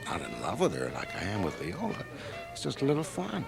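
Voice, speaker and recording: A glib, ambitious young man-about-town in a 1980 radio drama. His voice is a little low and swings expressively, breezy and pompously self-assured. Music sits behind him on the cassette transfer. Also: wildly swooping pitch, fairly quick, gravelly, exaggerated voice. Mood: breezy, cocky.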